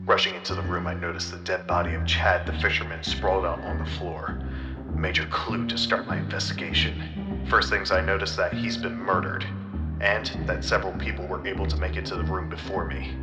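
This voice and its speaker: An actor playing a self-important, earnest but dim hard-boiled detective in a comedic audio drama, with melodramatic noir delivery and music behind him. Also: male voice, low voice, little pitch movement, brisk, close to an everyday voice. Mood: dramatic narration. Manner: melodramatic first-person noir narration. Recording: music bed under the voice.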